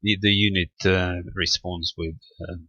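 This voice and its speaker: Male hobbyist demonstrator, low voice with a bright edge, step-by-step explanations with hesitant pauses. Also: flat delivery, no echo, highs cut off. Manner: halting, methodical, explanatory. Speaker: amateur radio hobbyist presenting equipment. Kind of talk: unscripted screen-recorded technical walkthrough.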